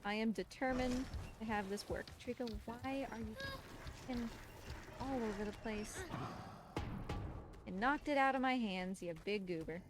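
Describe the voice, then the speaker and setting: A woman's voice: on the high side, measured pace, long pauses, music in the background. A woman doing casual live gameplay commentary as a speedrunner, with a playful, exasperated, scolding manner. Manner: talks to the game creature in playful, exasperated scolding. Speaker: speedrunner streaming live for a charity marathon. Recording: clear background game noise and ambience.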